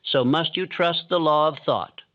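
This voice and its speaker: A man reading a scripted motivational sleep audiobook in a calm, steady, solemn manner. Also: fairly high pitch, measured pace, no echo, dull audio. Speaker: audiobook narrator.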